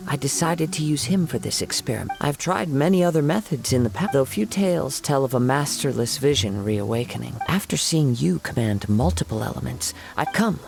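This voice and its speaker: Young male samurai drifter in a game, calm n reflective, creaky voice, formal wording, music behind. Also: medium pitch. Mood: composed, reflective. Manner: measured, reflective, formal phrasing. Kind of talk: scripted video game acting.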